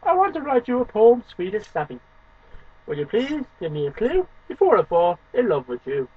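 A man's voice: high voice, wildly swooping pitch, normal speed, slightly rough, no echo. A man in his thirties reciting poetry to camera at home in an emphatic, declamatory way, in short phrases. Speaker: amateur poetry reader and video host. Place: small home room lined with shelves, indoors. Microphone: webcam microphone a short distance away. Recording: dull lo-fi webcam sound.

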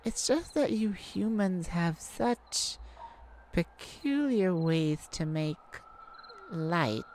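A woman voicing a wounded fallen-star alien in a roleplay audio drama, proud, wry and bewildered by humans, speaking haltingly in slightly formal wording. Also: lowish voice, lively intonation, a little mellow, a little hiss or hum, full character voice. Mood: pained, grudging.

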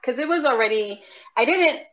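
A woman's voice: sits high, expressive, moderate pace. A woman chatting casually on a video call while showing a dish she cooked. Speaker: cook showing her dish. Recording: muffled video call audio.

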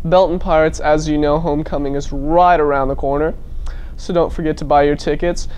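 A man's voice: a little high, fairly even intonation, brisk, a little mellow, clean tone. A teenage boy reading scripted school news announcements, speaking directly to the students. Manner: addresses the school audience directly.

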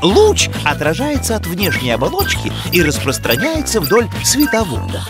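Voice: mid-range voice, huge pitch swings, normal speed. An actor voicing an earnest, brainy young cartoon animal who explains science in a precise, didactic way with small hesitations. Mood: earnest, explaining. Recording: background music under the voice.

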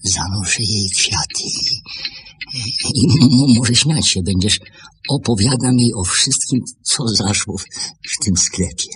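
An actor playing a lonely, gentle old shopkeeper in a Polish radio play, hesitant and stammering, repeating his words. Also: on the low side, slow, husky, full character voice. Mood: wistful, confiding. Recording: old hissy radio drama recording.

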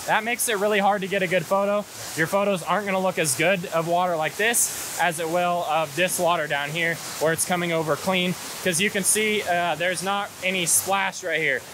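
A man's voice: high-pitched, fairly even intonation. A man in his twenties with an American accent, talking casually as a hiking and photography guide outdoors on an action camera. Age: 20s. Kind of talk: casual vlog explanation. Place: outdoors in a canyon beside rushing water. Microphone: action camera at arm's length. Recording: hiss and loud rushing-water noise. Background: rushing water, hiss.

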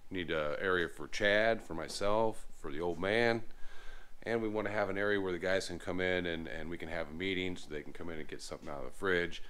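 Man, 40s, low voice, laid-back small-business owner filming a shop tour in a big garage. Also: fairly quick. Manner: relaxed, plainspoken, many fillers and restarts. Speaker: lawn care business owner. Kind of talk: casual walkthrough vlog. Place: large unfinished garage workshop, indoors. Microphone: handheld camera at arm's length.

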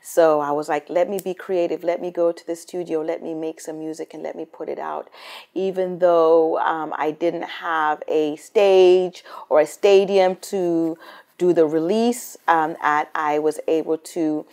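A woman's voice: low voice, steady tone, unhurried, darkish tone. A woman from Cameroon, a singer, answering interview questions in an eager, storytelling way. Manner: enthusiastic, storytelling, repeats words. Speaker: singer and rapper guest. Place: hotel room interview set, indoors.

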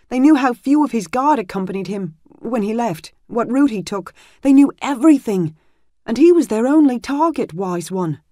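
Woman playing a young squire, frantic and breathless, pitched high, loud and urgent, storybook reading. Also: dry recording, clean studio sound, lightly acted. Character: frantic young male squire, barely bearded.

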